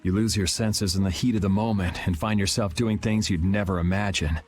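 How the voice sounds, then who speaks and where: A cool and blunt young swordsman in an anime game, voiced by a man. He talks low, dry and matter-of-fact, world-weary but principled, over soft music. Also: fairly even intonation, fairly quick, close to an everyday voice. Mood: calm, frank. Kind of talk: English dub of anime role-playing game.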